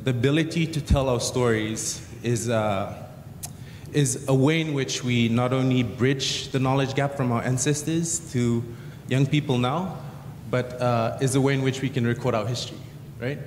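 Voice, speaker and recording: A man's voice: mid-range voice, steady tone, moderate pace, darkish tone. A man speaking as a panelist at a conference, hesitant with many uhs and restarts. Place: large conference hall. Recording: echoey hall reverb, faint noise.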